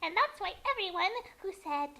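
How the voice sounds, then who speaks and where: Super high squeaky evil little sister from a story, sorry and pleading, bright. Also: animated, moderate pace, heavily acted. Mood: apologetic, pleading. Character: apologetic young evil sister in a fan fiction.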